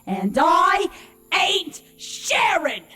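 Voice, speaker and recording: A woman's voice: very high-pitched, big pitch swings, drawn-out words, bright, forceful tone, faint music behind, heavily acted. A woman voicing a bitter, defiant exiled changeling in an audio play, sarcastic and brash. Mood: angry defiance. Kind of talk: audio play character acting.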